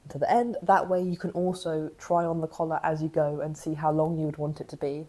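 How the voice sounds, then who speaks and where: A young woman, croaky from a cough, chatting casually in a knitting vlog as she thinks aloud. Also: fairly low pitch, mellow. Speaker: knitting vlogger.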